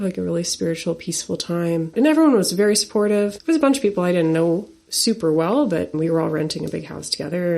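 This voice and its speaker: A woman in her forties, a singer-songwriter guest, telling a personal story in an earnest, animated way with laughs and fillers. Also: on the low side, vocal fry. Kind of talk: storytelling interview talk.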